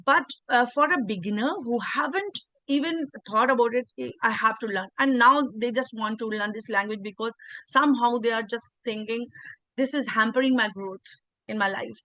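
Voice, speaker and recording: A woman's voice: fairly high pitch, normal speed, sharp tone, no echo, low-quality recording. An English teacher with an Indian accent, a native Hindi speaker, talking earnestly in a video interview and often restarting her sentences. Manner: earnest, repeats words, frequent restarts. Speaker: English teacher and channel host.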